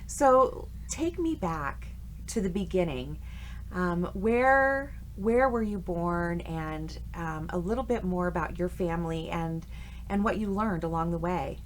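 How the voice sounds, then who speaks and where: A woman's voice: on the low side, lively intonation, a little slow, slight background noise, poor audio. Affirming woman podcast host. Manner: friendly, affirming, frequent "sure". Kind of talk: interview podcast.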